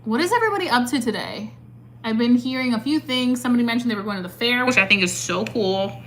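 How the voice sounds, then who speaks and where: A woman's voice: fairly high pitch, animated, quick pace, a bit bright, slight background noise, poor audio. Chatty young woman doing her makeup. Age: 20s. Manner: chatty and upbeat, filler-heavy with many "like" and "um". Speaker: beauty creator answering live chat. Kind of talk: casual chat while doing makeup.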